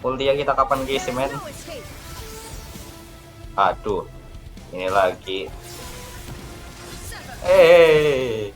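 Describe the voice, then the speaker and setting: A man's voice: medium pitch, a little slow, long pauses. A man giving casual, slangy gameplay commentary, reacting in short exclamatory bursts. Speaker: gaming streamer. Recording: voice over game music.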